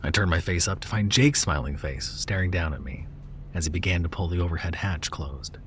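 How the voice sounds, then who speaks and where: A man reading a first-person horror story in a slow, hushed delivery that sometimes drops to a whisper. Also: sits low, a little hiss or hum.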